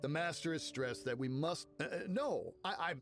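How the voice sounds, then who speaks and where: Guy playing a jittery game guard, servile, stammery, clear music in the background. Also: fairly high pitch, lively intonation, normal speed, lightly acted. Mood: flustered. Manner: stammering, flustered. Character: burly, nervous, servile male household guard. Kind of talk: video game character acting.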